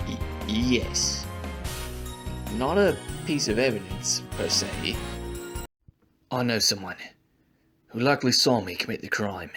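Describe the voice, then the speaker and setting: A man's voice: on the low side, lively intonation, takes it slowly, pauses often, fairly bright tone, faint music behind, full character voice. A man playing a nervous, stammering defendant in an amateur audio drama, desperate and hesitant. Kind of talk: fan audio drama acting.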